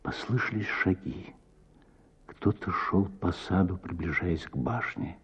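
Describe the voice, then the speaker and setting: A male actor narrating a literary story for radio with dramatic, measured delivery and deliberate pauses. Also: deep voice, breathy edge, muffled recording.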